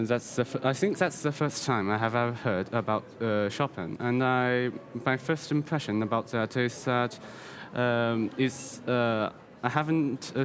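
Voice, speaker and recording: An eighteen-year-old man from China, a native Chinese speaker, answering haltingly and searching for words in English. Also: mid-range voice. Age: teens. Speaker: competition pianist guest.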